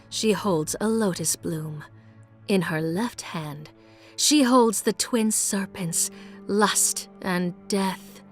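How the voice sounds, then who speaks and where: An exalted high priestess of a dark pleasure goddess in a fantasy game. She speaks very slowly at a middling pitch, sensual and imperious, as if chanting a rite. Music plays behind her. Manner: grandiose, incantatory, sensual. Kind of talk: theatrical fantasy video game monologue.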